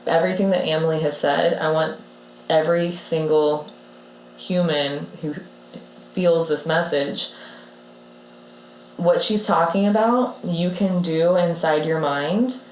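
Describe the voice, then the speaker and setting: Woman doctor, low voice, earnest, explanatory, roomy echo. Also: measured pace, pauses often, darkish tone. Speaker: doctor, podcast co-host. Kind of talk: casual podcast talk. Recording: roomy echo with faint hum.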